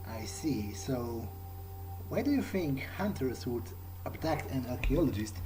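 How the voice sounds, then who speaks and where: A man roleplaying his game character aloud in a hesitant, questioning, low-key way. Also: sing-song, a little slow, music in the background, poor audio. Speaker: gamer voicing his own character.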